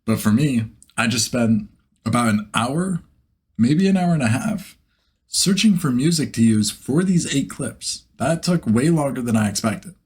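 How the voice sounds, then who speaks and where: A man hosts a casual vlog in an average-pitched voice with a husky edge. He thinks aloud informally and pokes fun at himself as he goes. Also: lively intonation, unhurried, darkish tone. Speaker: host, beginner voice actor. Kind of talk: casual vlog chat to camera.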